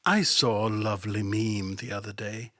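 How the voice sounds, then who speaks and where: A man's voice: fairly low pitch, steady tone, moderate pace, a bit bright, dry recording, studio quality. A man hosting a satirical Bible commentary podcast, sardonic and mocking, sometimes dropping to a whisper for asides. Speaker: podcast host.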